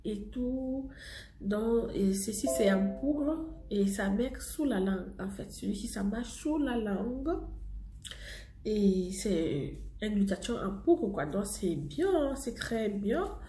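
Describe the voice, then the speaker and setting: Woman seller, mid-pitched, stuffy from a cold, casual and repetitive, recorded in an echoey room. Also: lively intonation, slow, warm tone, a little hiss or hum. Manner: chatty, repeats herself, laughs often. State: has a head cold. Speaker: cosmetics seller presenting a product. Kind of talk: casual product vlog to subscribers.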